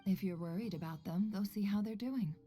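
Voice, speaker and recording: A woman playing a cool, shrewd female boss in a video game, speaking in a dry, measured, unruffled way. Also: mid-range voice, mellow, faint music behind, lightly acted. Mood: composed. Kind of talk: video game character acting.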